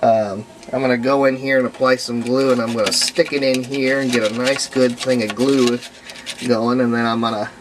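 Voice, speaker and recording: A hobbyist restorer giving a casual hands-on workshop demonstration, thinking aloud in a halting way as they work. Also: mid-range voice, fairly even intonation. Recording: noisy room recording with ambient sound.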